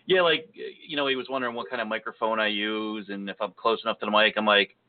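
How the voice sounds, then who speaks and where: A man's voice: lowish voice, fairly even intonation, fast talker. A man hosting a podcast in casual chat, joking and poking fun at himself. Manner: joking, self-deprecating. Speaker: podcast host. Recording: tinny phone-like audio.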